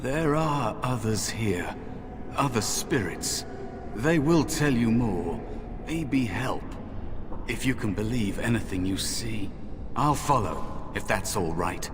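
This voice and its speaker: An actor playing a wary, bitter spirit of a dead apprentice in a fantasy video game, speaking in a hushed, deliberate, weary way. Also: male voice, mid-range voice, animated. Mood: cautious. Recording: long reverb, loud background noise.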